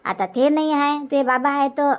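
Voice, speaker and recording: A woman's voice: high voice, moderate pace, lightly acted. A woman playing a fussy middle-aged village mother in a comedy cartoon, chatty and insistent, repeating herself in Vidarbha Marathi accent. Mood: resigned, fussing. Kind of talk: homemade comedy cartoon dubbing.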